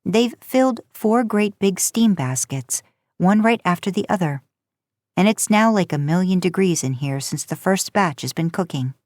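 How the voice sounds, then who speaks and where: Female story narrator for podcast fiction, lower register, close dry recording. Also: lively intonation, normal speed, darkish tone, dry recording.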